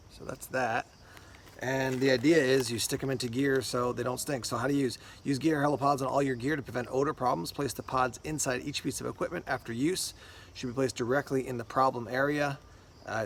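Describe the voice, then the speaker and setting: A man talks through products like an unboxing host, informal and conversational. His mid-range voice has a noticeable gravelly creak. There is light noise behind him as he explains each item casually. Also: mid-range voice.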